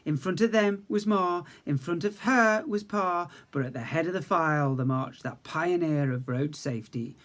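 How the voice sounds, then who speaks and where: A male teacher reading a storybook aloud to young children, lively, voicing characters higher and dropping to quiet asides. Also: moderate pace, few pauses. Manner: lively reading, raises pitch for characters' speech; self-corrects slips mid-sentence.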